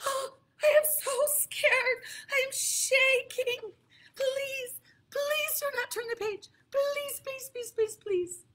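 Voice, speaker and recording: A woman's voice: high voice, sing-song, takes it slowly, pushed and bright, exaggerated voice. A woman voicing a lovable furry male monster who pleads frantically, in a storybook read-aloud. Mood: pleading.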